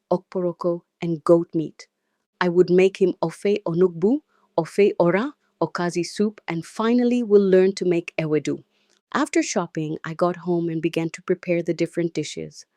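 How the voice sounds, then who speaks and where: A woman's voice: fairly low pitch, dark tone, dry recording. A woman with a Nigerian accent telling a dramatic first-person story as its narrator.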